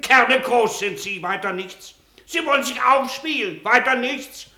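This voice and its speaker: A man's voice: extremely high pitch, a little slow, pushed and bright, exaggerated voice. An actor playing a stubborn, defiant man who argues back curtly at an official. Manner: defiant, curt, argumentative. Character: stubborn defiant man accused of buying stolen wood. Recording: roomy studio echo.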